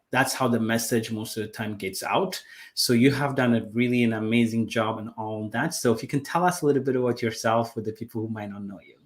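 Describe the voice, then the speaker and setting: A man hosting a live online talk show, introducing his guests with thanks. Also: mid-range voice. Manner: appreciative, quick bursts of words. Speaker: show host.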